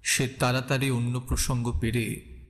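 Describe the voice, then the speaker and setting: A man's voice: mid-range voice, little pitch movement, a little slow. A man narrating a scripted suspense audio story as the storyteller. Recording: long reverb, clear background ambience noise.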